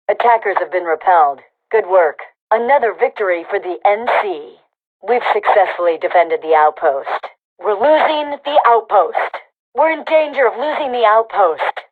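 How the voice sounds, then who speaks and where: An actress playing a brisk female rebel army commander in a video game, giving clipped, authoritative battle announcements through a radio filter. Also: mid-range voice. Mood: grave, urgent. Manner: clipped, authoritative military delivery. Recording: tinny, band-limited radio comms sound.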